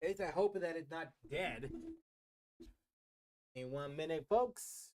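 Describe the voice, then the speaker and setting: A man's voice: on the high side, slow pace, a little mellow. A man chatting casually on a gaming livestream in a hesitant, apologetic way, often repeating his phrases. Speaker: game livestreamer. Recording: game music behind the voice. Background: video game music.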